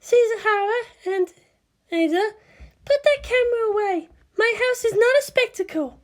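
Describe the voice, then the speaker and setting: A woman's voice: extremely high pitch, animated, normal speed, voice pushed up. A woman voicing a bossy schoolgirl class representative who is prim, commanding and sweetly menacing.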